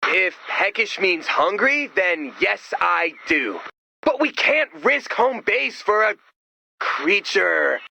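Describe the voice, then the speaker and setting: Slow creaky awkward cook, fussy game character, really piercing. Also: male voice, high voice, sing-song, pushed and bright, no echo, exaggerated voice. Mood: defensive. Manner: awkward, halting. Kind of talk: animated video game character dialogue.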